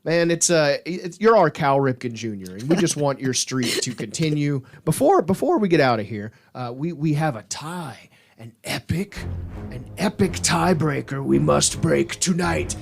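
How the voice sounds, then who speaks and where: A man's voice: fairly high pitch, lively intonation, moderate pace, vocal fry, faint music behind. A man co-hosting a sports podcast with casual, playful, mock-dramatic banter. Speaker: podcast co-host.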